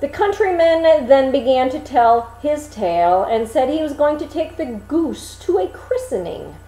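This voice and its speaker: Slow, expressive woman storyteller. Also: high voice. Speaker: storyteller, reader. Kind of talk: expressive fairy tale narration. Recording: echoey room sound.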